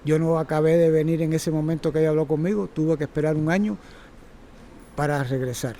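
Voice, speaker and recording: A Cuban-accented man in his sixties lectures a class on circus method. His voice sits a little high yet sounds warm and mellow. He rambles, stops, and corrects himself as he goes, over a faint hiss of noise. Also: steady tone, fairly quick. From Cuba. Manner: rambling, self-correcting, chatty. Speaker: circus instructor. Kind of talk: informal workshop lecture.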